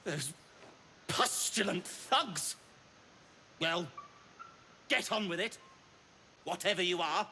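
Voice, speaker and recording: An actor voicing a sour, wary male deep gnome who mutters sardonically, in a slow gravelly voice. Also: lively intonation, sharp tone, heavily acted. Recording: faint background noise.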